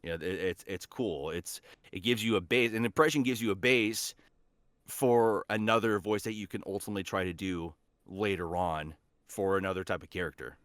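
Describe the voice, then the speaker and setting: A man's voice: deep voice, moderate pace, fairly bright tone, no room sound, clean studio sound. A man chatting casually as a voice actor guest on a podcast, speaking in an enthusiastic, earnest way.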